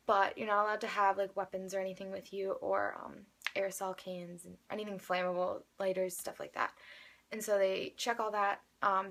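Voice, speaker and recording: Young American woman, creaky chatty voice. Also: medium pitch, fairly bright tone. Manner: chatty, rambling, youthful filler-laden speech. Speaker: vlogger, amateur singer. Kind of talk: casual personal storytelling to camera.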